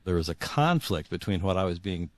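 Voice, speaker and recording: A man in his sixties speaking as a guest author in a reflective interview, earnest and halting, often repeating words as he searches for phrasing. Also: deep voice, animated, no room sound. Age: 60s.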